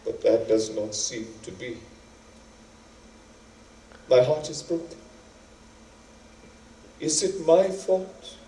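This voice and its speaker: A man's voice: medium pitch, expressive, slow pace, pauses often, warm tone, roomy sound, recorded from a distance, slight background noise, poor audio. A man playing a proud, wounded noble wife from an epic, speaking formally with indignant, pleading deliberation. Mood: hurt, indignant.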